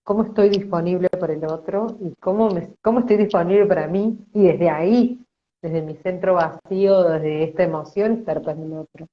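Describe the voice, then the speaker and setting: A woman hosting a reflective conversation, speaking in a soft, flowing, thoughtful way. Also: lowish voice, normal speed. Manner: soft, flowing and thoughtful, acts out quoted complaints. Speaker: host. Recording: dull lo-fi audio.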